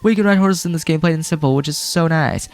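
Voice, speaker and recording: A man's voice: on the high side, quick pace, no room sound. A man hosting a let's-play, getting over a cold with a lingering cough, rambling casually with lots of fillers. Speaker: gaming video host.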